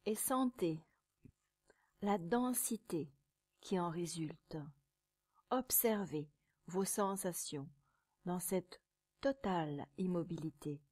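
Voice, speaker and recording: A woman teaching yoga nidra, guiding a meditation in a calm, measured, soothing way, with a soft, sometimes breathy voice and pauses between short phrases. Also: fairly low pitch. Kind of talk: guided meditation.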